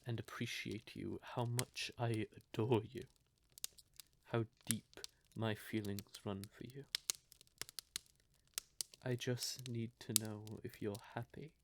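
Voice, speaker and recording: Man acting a slow-talking, possessive vampire lover. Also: mid-range voice, noisy background. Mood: earnest, pleading. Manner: theatrical, deliberate, syrupy endearments, drifting into breathy whispers. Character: adult male vampire, courtly, possessive lover, quietly menacing. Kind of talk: scripted audio roleplay addressed to the listener.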